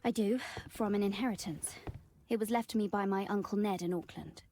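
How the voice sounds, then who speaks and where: A woman's voice: mid-range voice, brisk, mellow, a little hiss or hum, natural voice. An actress voicing a shy, proper young Victorian woman in a video game, speaking politely, formally and earnestly.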